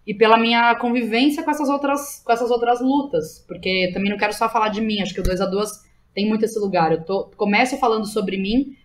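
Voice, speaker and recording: A woman's voice: mid-range voice, slight background noise. Informal Brazilian woman, slangy podcast guest. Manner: informal and slangy, frequent tag questions. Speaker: interview guest, playwright and theatre director. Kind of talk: casual podcast conversation.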